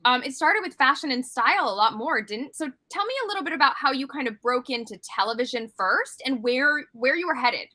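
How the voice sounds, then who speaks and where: This woman has a high, bright voice with a forward edge. She hosts a casual live interview show, greeting viewers and prompting her guest in an upbeat, gushing manner. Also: lively intonation, fairly quick, few pauses.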